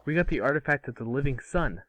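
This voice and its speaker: A nervous young wizard student, acted by a man in an amateur table read. He is excitable and hesitant, his pitch lifted a little, in a dry close sound. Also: quick pace, no echo, close to an everyday voice, low-quality recording. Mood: excited.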